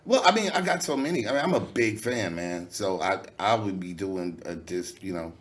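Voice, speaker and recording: A man with a very low voice that still comes out nasal, talking. He speaks loosely and candidly, full of "you know" and casual swearing. It sounds like a relaxed interview chat. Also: moderate pace. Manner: loose, candid, swearing freely, many fillers. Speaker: rapper, guest.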